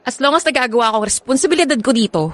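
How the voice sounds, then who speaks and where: A woman's voice: high-pitched, animated, rapid-fire. A woman with a Filipino accent playing a defiant, fed-up young girlfriend in a radio drama. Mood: defensive. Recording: music bed under the voice.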